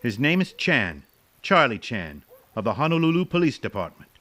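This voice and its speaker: An actor playing a brash small-town American constable, blunt and blustering. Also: male voice, mid-range voice, very animated, moderate pace, fairly bright tone. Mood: brisk, impressed. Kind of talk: old detective film radio dramatisation.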